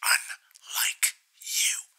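A man voicing a parody of a gruff, combative older wrestling boss in a hoarse growl, blustering and dismissive. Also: drawn-out words, pushed and bright, whispered, full character voice. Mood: indignant. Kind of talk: parody phone argument acting.

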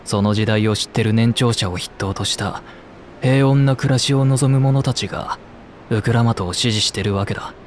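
A man's voice: medium pitch, moderate pace, slight background noise, lightly acted. An actor playing a knowledgeable male traveller in a fantasy game, explaining things bluntly and matter-of-factly. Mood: calm, matter-of-fact. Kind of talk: scripted game cutscene dialogue.

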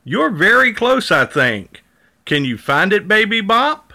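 A man's voice: sits high, sing-song, a little slow, bright, forceful tone, pitched above the performer's own voice, full character voice. A man reading the part of a cheerful, helpful big dinosaur in a children's storybook, encouraging and sing-song.

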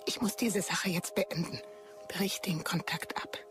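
Actress as determined conspirator, music behind. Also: female voice, lowish voice, fairly even intonation, measured pace, in a whisper, natural voice. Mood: urgent, resolute. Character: determined, secretive, controlling woman activist.

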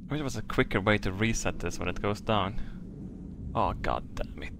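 A man's voice: fairly low pitch, moderate pace, noisy background. A man with a Swedish accent giving casual, frustrated commentary on a game as a streamer, swearing often. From Sweden.

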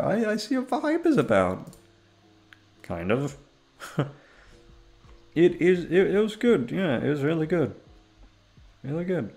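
A young man rambling in a casual chat, swearing often and jumping into high-pitched exclamations. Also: creaky, darkish tone. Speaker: audio roleplay creator.

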